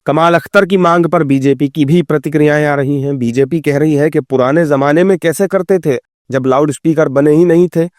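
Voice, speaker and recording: A man's voice: on the high side, fairly even intonation, brisk, hardly any pauses, a little mellow, dry recording, studio quality. A man reading a scripted news report as narrator.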